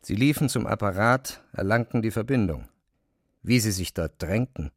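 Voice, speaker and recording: A man's voice: fairly low pitch, lively intonation, moderate pace, no echo, studio quality. A man reading scripted literary narration as the narrator of an audio drama.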